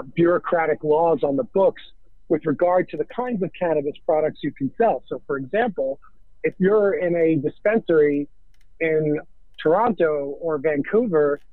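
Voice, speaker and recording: An American man speaks as an interview guest over a thin, phone-like connection. His voice sits a bit high for a man and sounds dry, with no room around it. He lays out business points deliberately, pausing between short phrases. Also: dry recording. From New York, United States. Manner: measured, deliberate, halting phrasing with pauses. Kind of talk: interview answers on business and finance.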